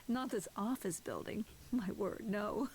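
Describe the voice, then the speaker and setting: An actress playing a gracious, amused goddess guide in a video game, genteel and playful. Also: female voice, mid-range voice, lively intonation, slow pace, darkish tone. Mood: amused welcome.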